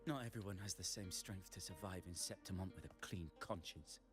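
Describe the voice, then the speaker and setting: A man's voice: low-pitched, brisk, natural voice. A man voicing a bitter, compromised male gladiator in a video game, defensive and dramatic. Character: bitter compromised male gladiator, former partner turned rival. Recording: game audio with music underneath.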